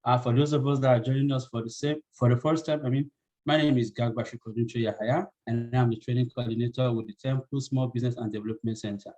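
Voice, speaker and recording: A man's voice: medium pitch, steady tone, fast, warm tone, rough recording. A man working as a training coordinator, hosting a webinar over a video call, polite and apologetic, with many fillers.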